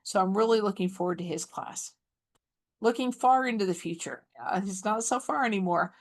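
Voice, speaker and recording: A woman's voice: medium pitch, moderate pace. A woman hosting an online chapter meeting, chatting enthusiastically through the upcoming events over a video call. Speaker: chapter host. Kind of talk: announcements and introduction.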